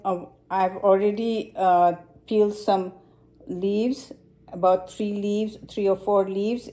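A woman presenting a simple science demonstration for children in a kitchen, explaining step by step in a halting way. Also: on the low side, warm tone. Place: home kitchen, indoors.

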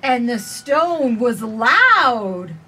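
A woman in her forties reading a picture book aloud for children with expressive, sing-song phrasing, recorded outdoors on a porch. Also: on the high side, very slow. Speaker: children's storytime reader. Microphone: camera a few feet away. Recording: distant camera mic, faint outdoor noise.

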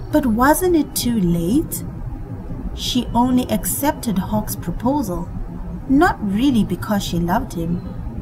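A woman narrating a scripted folktale for children in an expressive, dramatic storytelling manner. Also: fairly high pitch, rough recording. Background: background music.

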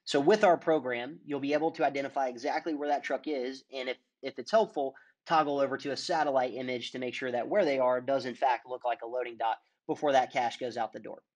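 A man walking viewers through a software product demo in a steady, explanatory presenter's manner. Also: mid-range voice, fast talker, hardly any pauses. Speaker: product demonstrator.

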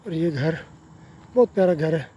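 A Pakistani man with an Urdu accent narrating a walking tour of a quiet residential street, politely pointing out houses as he films on his phone. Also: a little high, lively intonation, husky. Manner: polite, unhurried tour-guide commentary with frequent "ji" and "theek hai na". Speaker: travel vlogger. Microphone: handheld phone camera while walking.

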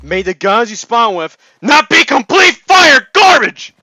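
Piercing, high-pitched, bombastic game announcer, yelled by a man. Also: unhurried, dry recording, faint music behind, heavily acted. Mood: gleeful taunting. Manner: bombastic, over-excited. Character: hyped-up, taunting, ranting male shooter-game announcer. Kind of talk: shouted game voice lines.

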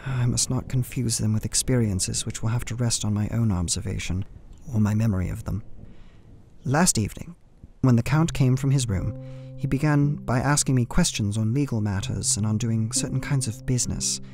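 Man acting young solicitor, mid-pitched, formal, measured, uneasy, journal narration, radio drama, guitar music underneath. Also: gravelly, mellow, lightly acted. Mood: earnest, guarded. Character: earnest, uneasy young English solicitor writing his journal. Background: plucked guitar music.

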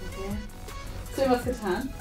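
A woman's voice: medium pitch, fast talker, over music. A young adult woman chatting casually with colleagues indoors, recorded by a camera across the room. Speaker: colleague helping with the prank. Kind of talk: casual chat. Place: studio office kitchen, indoors. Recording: echoey room, distant camera microphone.

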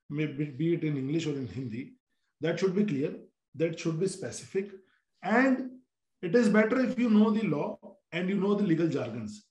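An Indian man speaking as a panelist in a video call discussion, explaining at a measured pace with frequent restarts and fillers. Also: high-pitched, darkish tone. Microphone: laptop on a video call. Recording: roomy video call audio.

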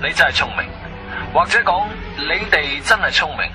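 A man's voice: a little high, moderate pace, music in the background, lightly acted. A man playing a shrewd, defiant adventurer in a Cantonese radio drama, with a wry, firm, dramatic delivery. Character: shrewd, defiant male adventurer telling his own story. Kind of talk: radio drama acting and narration.

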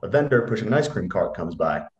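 Young male narrator, very varied pitch, reading script directions in a roomy video-call sound. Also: very animated, fast, darkish tone, highs cut off. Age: young adult. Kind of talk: dramatic screenplay read-aloud. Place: room at home, on a video call.

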